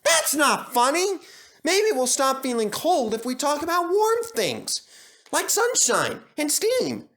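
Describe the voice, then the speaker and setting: Childlike young male engine, whiny and cheeky, high swooping pitch, children's storybook. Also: huge pitch swings, slow pace, pushed and bright, heavily acted. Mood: chilly, plaintive. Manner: whiny, exclamatory.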